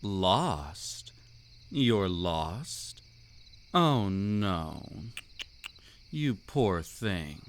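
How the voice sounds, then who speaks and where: Man acting as a slow-talking, seductive vampire count. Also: low voice, sing-song, a little hiss or hum, exaggerated voice. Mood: silky, teasing. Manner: aristocratic, silky, teasing, theatrical delivery. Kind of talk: scripted roleplay audio drama.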